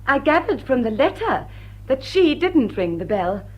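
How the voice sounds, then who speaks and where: Husky, high-voiced charming lady thief. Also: sing-song, a little slow, darkish tone, heavily acted. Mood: wry composure. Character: poised, charming female thief and former shop girl. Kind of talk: vintage drawing-room drama acting. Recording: dull lo-fi vintage soundtrack.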